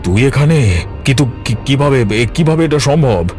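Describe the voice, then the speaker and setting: A man voicing a guilty, suspicious village master in an animated horror story, stammering and demanding, jumpy yet defiant. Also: medium pitch, normal speed, soft background music, lightly acted. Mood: shaken, defiant. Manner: stammering, demanding, repeats questions.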